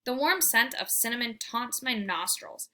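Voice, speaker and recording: A woman reading a children's book aloud as a storyteller, with expressive, emphatic delivery. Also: on the high side, moderate pace. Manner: animated, emphatic, theatrical delivery.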